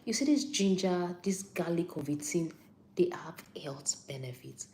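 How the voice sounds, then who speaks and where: Nigerian woman, young adult, low voice, live-stream health talk, chatty, repeats herself for emphasis. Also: expressive, a little slow, mellow, a little hiss or hum. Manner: chatty, repeats points for emphasis, answers viewer comments.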